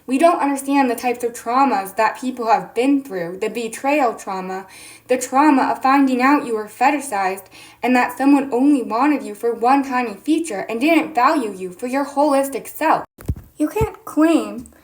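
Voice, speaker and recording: A young woman with a high voice, speaking earnestly and insistently to camera in an opinion vlog, pressing her points with indignant questions. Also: normal speed, rough recording. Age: young adult. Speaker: TikTok creator.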